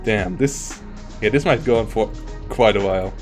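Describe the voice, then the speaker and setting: A man's voice: lowish voice, normal speed, faint music behind. Rambling man casting a strategy game. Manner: rambling, self-interrupting, frequent restarts. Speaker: esports caster. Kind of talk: live game commentary.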